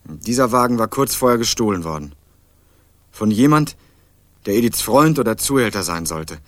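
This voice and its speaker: A man's voice: lowish voice, animated, moderate pace, no room sound, lightly acted. A young man narrating a radio crime drama in the first person, playing a dogged student turned amateur sleuth in a dry, ironic tone. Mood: wry. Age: young adult. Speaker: narrator and protagonist.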